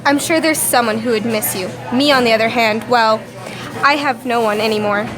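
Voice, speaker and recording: A woman's voice: fairly high pitch, moderate pace, music in the background, a crowd in the background, natural voice, low-quality recording. A woman playing a defensive young female criminal in an amateur radio play. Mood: bleak.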